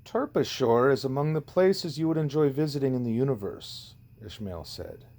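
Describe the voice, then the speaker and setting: A man reading a novel aloud as narration, in measured phrases. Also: medium pitch, animated, darkish tone. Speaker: reader. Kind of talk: audiobook-style narration of a novel. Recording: clearly noisy amateur recording with hum.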